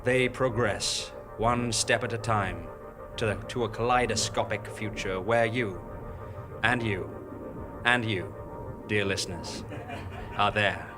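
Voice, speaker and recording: A man delivering scripted, theatrical narration in a measured, portentous way. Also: fairly low pitch. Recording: music bed behind live stage narration.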